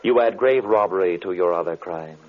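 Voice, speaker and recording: An actor playing a wary, defiant magician hero in old radio horror, speaking in a formal, solemn, theatrical way. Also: male voice, low-pitched, a little fast, close to an everyday voice. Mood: wary, challenging. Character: defiant, wary male magician, sworn enemy of the villain. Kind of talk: 1930s radio horror acting. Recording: muffled vintage radio recording.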